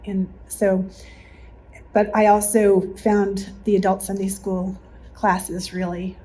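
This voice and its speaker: A woman's voice: medium pitch, little pitch movement, slow, mellow, low-quality recording. A woman, a church member, reflecting on her memories in a group interview. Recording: faint background noise.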